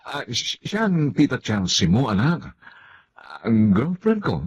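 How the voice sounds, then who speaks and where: A man's voice: mid-range voice, very animated, a little slow, muffled recording. An actor playing a conflicted father in a radio melodrama, pleading and placating, caught between others. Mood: apologetic, soothing.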